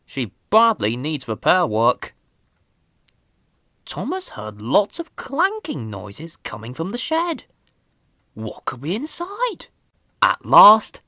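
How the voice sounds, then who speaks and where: Woman, children's story reader, low-pitched, unhurried, highly animated, dry close sound. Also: no echo. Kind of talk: scripted children's story narration.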